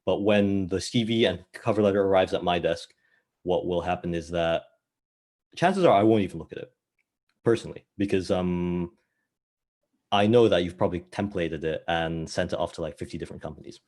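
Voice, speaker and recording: A man who grew up in the Middle East speaks in a fairly low voice. He gives relaxed, thoughtful advice from a hiring interviewer's view, pausing now and then. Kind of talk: casual advice talk.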